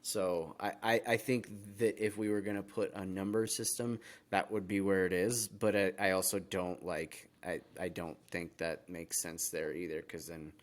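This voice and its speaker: A man with a very low voice co-hosts a casual gaming podcast. He talks haltingly and restarts often, with a playful edge. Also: flat delivery. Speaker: podcast co-host.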